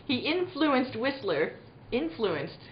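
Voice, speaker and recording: A woman's voice: a little high, sing-song, slow pace. Echoey woman narrating playfully, giggly. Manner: giggly, breaking into laughter while reading. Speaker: co-host and narrator of a live radio play reading. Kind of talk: playful radio announcer narration with ad-libbed asides. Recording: echoey room, muffled, noticeable background noise.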